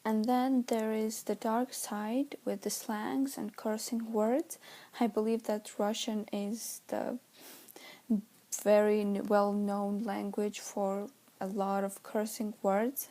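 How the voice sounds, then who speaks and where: Romanian-accented woman student presenting, unhurried and halting, searches for words. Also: medium pitch, little pitch movement, dark tone. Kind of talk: class presentation.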